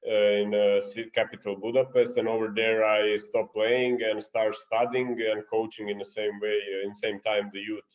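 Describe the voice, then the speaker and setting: Serbian guy, low flat voice, coaching clinic on a muffled video call. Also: moderate pace, few pauses. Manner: earnest, searching for English words. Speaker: guest coach. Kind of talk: online coaching clinic talk.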